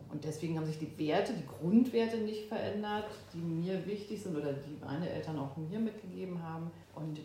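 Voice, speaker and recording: A woman in her fifties from Berlin, a guest in an interview, who talks thoughtfully with pauses and fillers. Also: deep voice, gravelly, dark tone, a little hiss or hum. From Berlin, Germany. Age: 50s. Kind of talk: oral history interview. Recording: echoey room.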